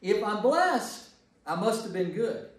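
A fairly high-voiced American man leads a group study as pastor. He is emphatic and repeats key phrases, heard in a very echoey room, away from the mic. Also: sing-song, a little slow. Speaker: pastor leading a Bible study. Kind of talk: informal teaching with group discussion. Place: indoor church meeting room. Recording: echoey room, distant mic, faint noise.

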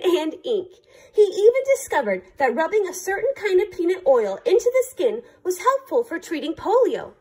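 A woman reading a children's picture book aloud as a librarian, in careful, deliberate short phrases. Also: very high-pitched, darkish tone.

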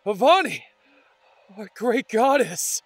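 Excitable male elf companion played by an actor for fantasy audio drama, wry and casual, animated pitch, very dry. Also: slow, dry recording, soft background music, full character voice. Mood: hopeful, pleading. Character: excitable, wry part-elf adventurer, eager to please.

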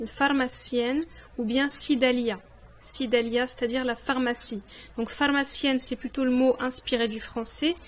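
High-voiced woman teaching vocabulary slowly. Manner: slow, clear repetition of each word. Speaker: language teacher. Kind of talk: vocabulary lesson with repetition.

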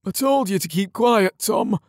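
A man voicing a stern, frail knight trainer in an audiobook, scolding with a strident, rough voice. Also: sits high, expressive, slow pace, a little mellow, no room sound, clean studio sound, voice pushed up, full character voice. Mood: exasperated.

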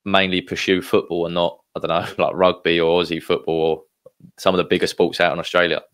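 Low-voiced man hosting. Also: little pitch movement, fairly bright tone, clear, resonant tone. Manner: friendly, prompting, quick affirmations. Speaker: podcast host. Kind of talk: casual interview chat.

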